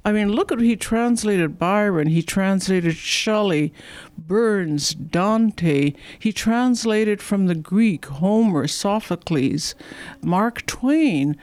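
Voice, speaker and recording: A woman's voice: medium pitch, lively intonation, slow, a little mellow, dry recording. A woman who is a museum board member, leading a guided tour with enthusiastic, admiring talk.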